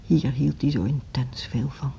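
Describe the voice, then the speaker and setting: An actress playing a grieving, earnest woman in an intimate radio drama, with a hushed, husky voice. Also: extremely low pitch, fairly even intonation, measured pace, a little hiss or hum, exaggerated voice. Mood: grieving, resolute. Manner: earnest, subdued, intense. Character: grieving, earnest music teacher, a determined but lonely woman. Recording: dull lo-fi radio drama recording.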